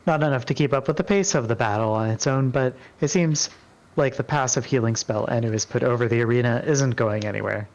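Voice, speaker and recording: A man's voice: mid-range voice, a little fast. A man reading scripted fantasy narration as a player in a group story. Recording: dull, lo-fi microphone sound.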